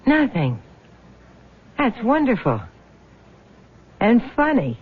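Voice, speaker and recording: An American actress playing a greedy, sharp-tongued small-town woman in a radio drama, talking in brusque, wry slang. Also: a little high, wildly swooping pitch, slow, breathy edge, exaggerated voice. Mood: scheming. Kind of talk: 1970s radio drama acting. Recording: lo-fi muffled vintage radio recording.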